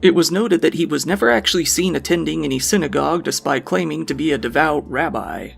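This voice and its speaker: A man giving a scripted true crime narration in an even, measured reading. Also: a little high, vocal fry, soft background music.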